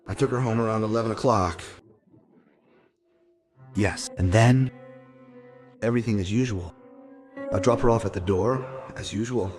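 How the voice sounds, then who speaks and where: A man playing a separated father in a crime audio drama, explaining himself in halting, clipped, self-justifying phrases. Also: on the low side, a little mellow, soft background music, natural voice. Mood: defensive, uneasy. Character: separated father giving a defensive, halting account to police.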